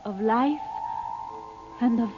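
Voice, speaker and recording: An actress playing a solemn, fearful Egyptian woman, speaking slowly and gravely in old-time radio drama style. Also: fairly high pitch, music in the background. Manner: slow, measured, grave delivery. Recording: muffled, hissy old radio recording.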